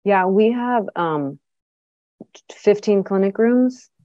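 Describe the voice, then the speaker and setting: A woman's voice: medium pitch, lively intonation, takes it slowly, over music. A middle-aged woman, a clinic director, answering interview questions in a chatty way with lots of ums and restarts. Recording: dull, dry lo-fi sound.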